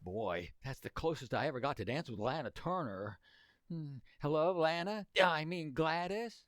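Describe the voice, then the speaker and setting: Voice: mid-range voice, animated, moderate pace, no echo, close to an everyday voice. An actor as hapless teenage boy, lovesick, dim but earnest, swooping intonation, radio comedy, dry close sound. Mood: awkward, earnest. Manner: exclamatory, dim-witted, earnest boyish slang. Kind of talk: old-time radio comedy acting.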